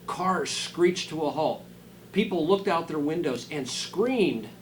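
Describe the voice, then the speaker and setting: A man reading a children's storybook aloud dramatically, dropping into quieter asides to his listeners. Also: fairly high pitch, expressive, slow pace, a little hiss or hum. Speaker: school superintendent reading a picture book aloud.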